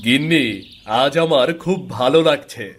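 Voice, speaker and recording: Guy doing an eager cartoon dad, middle aged, genial, voice a bit high, music behind. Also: unhurried. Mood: delighted. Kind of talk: Bengali animated fairy-tale dubbing.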